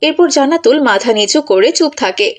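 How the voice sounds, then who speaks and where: A woman's voice: a little high, expressive, brisk. A woman narrator reading a scripted moral story for children.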